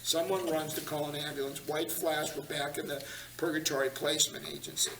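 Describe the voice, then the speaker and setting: A man's voice: on the high side, flat delivery, brisk, creaky, pushed and bright. A man reading stage directions as narrator at a screenplay table read in a meeting room. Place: large meeting room, group around tables. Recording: echoey, distant, slightly noisy room.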